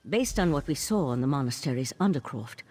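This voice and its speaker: A woman playing a composed elven mage in a video game, earnest and wary, with a measured, formal way of talking. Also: rumbling low, animated, darkish tone. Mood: thoughtful, wary. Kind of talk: video game character acting.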